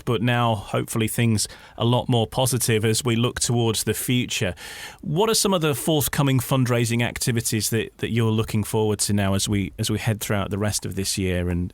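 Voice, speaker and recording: A man's voice: on the low side, dry recording. A man hosting a casual radio interview, chatting and putting questions to a guest.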